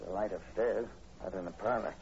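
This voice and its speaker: An actor playing a plain-spoken state police sergeant, halting and matter-of-fact, in 1940s radio horror style. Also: male voice, fairly low pitch, vocal fry. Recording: dull, noisy old radio broadcast recording.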